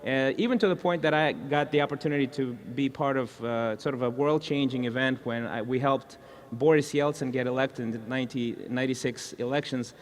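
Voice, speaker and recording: A man from Russia, interviewing a fellow minister in a friendly, halting way with many restarts. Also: medium pitch, fairly even intonation. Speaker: interviewer, evangelist. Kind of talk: conversational interview.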